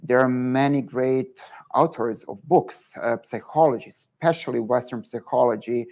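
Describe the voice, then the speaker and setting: A man's voice: mid-range voice, moderate pace, a little mellow. A man with a Serbian accent, a guest entrepreneur in an online interview, giving earnest advice with many filler words.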